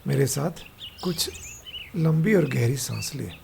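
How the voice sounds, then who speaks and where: A man with an Indian accent leading a guided meditation as a teacher, in a calm, soft, pausing manner. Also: mid-range voice, vocal fry, background noise. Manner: slow, pausing, calm guidance with soft delivery. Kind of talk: guided meditation instruction, alternating Hindi and English. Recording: soft meditation music behind voice. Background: music.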